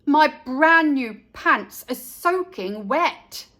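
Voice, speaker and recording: Storybook dad, performed by a woman: loud, bright, fed up with his small daughter. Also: sits high, expressive, extremely slow, full character voice. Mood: upset. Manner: loud, exasperated. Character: exasperated, upset father of a little girl.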